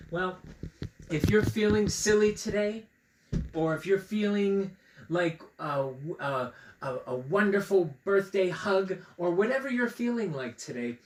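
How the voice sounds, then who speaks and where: A man reads a children's picture book aloud, his pitch swinging freely up and down. He is playful and expressive, stepping out of the text to chat directly with young listeners. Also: background noise. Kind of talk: animated read-aloud with chatty asides.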